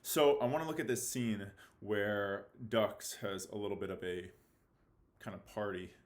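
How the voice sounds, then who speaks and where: A man speaking as a fifth-grade teacher giving a read-aloud lesson, halting and theatrically mock-serious in his delivery. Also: fairly low pitch. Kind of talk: read-aloud lesson for children, reading a novel with commentary. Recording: echoey room.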